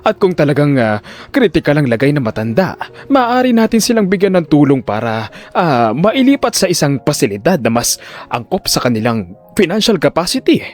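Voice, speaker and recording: Man playing an unctuous older hospital director, swooping pitch. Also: very animated, moderate pace, soft background music. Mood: nervous, evasive. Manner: formal, fake-concerned, hesitant emphatic phrasing. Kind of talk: audio drama dialogue.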